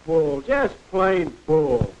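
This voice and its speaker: A man's voice: on the high side, big pitch swings, very slow, a little mellow, muffled recording, full character voice. An actor playing a combative, argumentative American man in a 1940s educational film, on an old, faintly noisy soundtrack. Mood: scornful.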